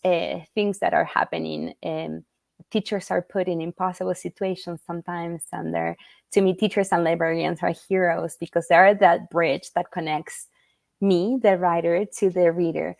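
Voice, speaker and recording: A woman's voice: on the low side, fairly even intonation, moderate pace, vocal fry, a little mellow, no room sound. A middle-aged woman from Argentina, a children's book author answering interview questions in an earnest, thoughtful way with frequent fillers and restarts. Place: at home in Utah.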